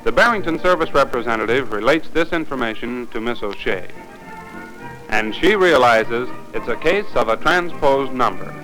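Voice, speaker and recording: An American man reading formal, deliberate, instructive narration for a 1950s training film. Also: mid-range voice, animated, sharp tone, poor audio. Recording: 1950s film soundtrack, music behind.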